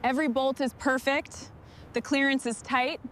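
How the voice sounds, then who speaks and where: Higher-pitched woman over background noise. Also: steady tone, normal speed, fairly bright tone. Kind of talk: short work remarks in a restoration video.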